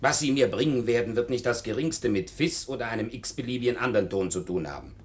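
A gruff male newspaper chief played by an actor in a radio play, with a mid-pitched, very animated voice. He gives curt orders, impatient and domineering. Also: fast talker, lightly acted. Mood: irritated, commanding. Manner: curt, commanding.

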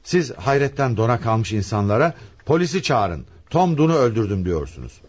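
An actor playing a brisk, authoritative police commissioner interrogating suspects in a radio play. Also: male voice, mid-range voice, big pitch swings, breathy edge. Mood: probing. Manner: commanding, deliberate, theatrical.

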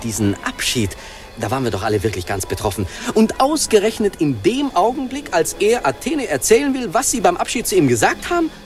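Animated, gushing smooth-talking reporter man. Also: sits high. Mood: earnest. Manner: effusive, gushing, halting. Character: brash, smooth-talking radio reporter flattering a nymph. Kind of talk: 1940s German radio play acting. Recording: 1940s radio recording.

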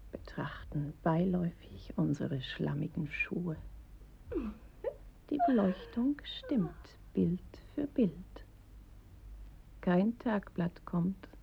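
A woman narrating a dark, grim tale in a radio play, with measured, terse phrasing and a husky, breathy voice. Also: on the low side, animated, exaggerated voice. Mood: hushed, ominous. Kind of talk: literary radio play narration, slow and deliberate. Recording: dry, slightly dull studio radio recording.

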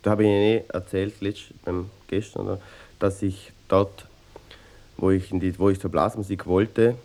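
An actor playing an earnest, obsessive amateur clarinetist in a mock-documentary radio play, rambling and interrupting himself in a Vorarlberg Austrian accent. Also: male voice, sits low, a little mellow. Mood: modest, hesitant. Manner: rambling, halting, self-interrupting, fussy. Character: earnest, obsessive amateur clarinetist hunting a vanished brass band.

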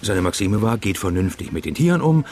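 An actor playing a weary, brooding journalist in his late forties with a gravelly voice, in a naturalistic radio drama. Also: fairly low pitch, big pitch swings, brisk, darkish tone, slight background noise. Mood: dry, explanatory. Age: late 40s. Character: weary brooding male journalist nearing fifty, jealous and reluctant.

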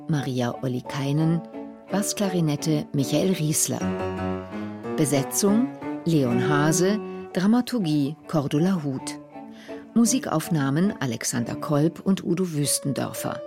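A woman reading the closing credits in a slow, formal manner. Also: sits low, animated, over music. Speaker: credits announcer.

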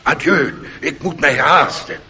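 An actor voicing a grumpy, self-important old cockchafer beetle with a pompous, scolding manner in a radio drama. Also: male voice, on the high side, animated, unhurried, bright, forceful tone, long reverb tail, a little hiss or hum, exaggerated voice.

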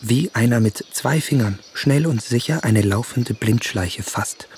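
An actor playing an earnest, courteous young male visitor in a literary radio play, speaking in measured, reflective phrases full of wonder. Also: mid-range voice, darkish tone, a little hiss or hum, natural voice.

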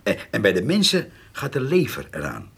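A man's voice: on the low side, wildly swooping pitch, moderate pace, gravelly, slightly rough, heavily acted. An actor in a radio drama playing a chatty, long-winded old village handyman who hesitates and loves to gossip. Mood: hesitant, concerned. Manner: long-winded, hesitant, chuckling rural storyteller.

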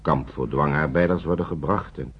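An actor playing a kindly older gentleman who looks after foster children in a radio drama, speaking courteously and hesitantly, repeating himself. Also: very deep voice, normal speed, noisy background. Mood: earnest, gentle. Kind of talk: naturalistic radio play acting. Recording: dull, lo-fi old radio play recording.

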